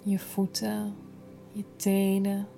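A woman guiding a yoga nidra relaxation in a gentle, soothing, unhurried manner, breathy at times. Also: mid-range voice, completely flat delivery, faint music behind. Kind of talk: slow guided relaxation meditation.

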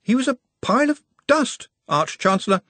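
A man's voice: very high-pitched, very animated, moderate pace, fairly bright tone, dry recording, pitched above the performer's own voice, exaggerated voice. A man reading an audiobook, voicing an anxious, precise young male wizard who hedges before bad news. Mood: hesitant. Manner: hesitant and precise, with fussy hedging.

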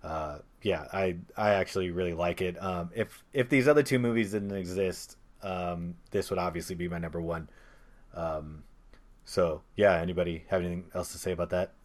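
A man's voice: sits low, moderate pace. A man speaking as a podcast co-host in casual, conversational discussion. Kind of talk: casual movie-discussion chat.

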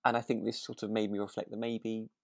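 A man's voice: on the low side, flat delivery, quick pace, creaky, no room sound, studio quality. A British man co-hosting a podcast, casually recapping and often rephrasing as he goes. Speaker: podcast co-host. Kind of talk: casual chat about a radio drama.

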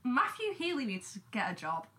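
A woman's voice: on the high side, huge pitch swings, fairly quick, a bit bright. A young woman chatting casually with friends as a podcast co-host, with a playful, teasing manner. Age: young adult. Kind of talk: casual group chat.

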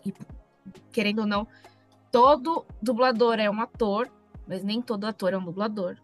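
A woman's voice: medium pitch, normal speed, fairly bright tone, soft background music. A Brazilian woman on a podcast panel, chatting casually in a blunt, slangy, emphatic way. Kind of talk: casual group chat.

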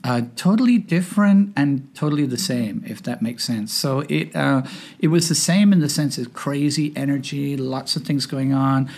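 A man's voice: mid-range voice, moderate pace, a little mellow. A man in his fifties, born in Northern Ireland and raised in Hong Kong, telling jokey, self-deprecating stories as a guest on a casual podcast. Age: 50s.

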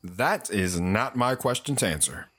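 An actor playing a steady, philosophical friend in an audio drama, speaking in a measured, reflective way. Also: male voice, lowish voice. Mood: wistful, philosophical. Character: steady, philosophical male friend giving folksy counsel.

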